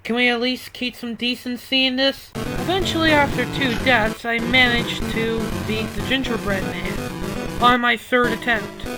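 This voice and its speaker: High-pitched, sweary woman reviewer over music. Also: measured pace, rough recording. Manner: sweary, exasperated, prone to outbursts. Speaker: video game reviewer. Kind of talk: scripted review narration with ranting commentary.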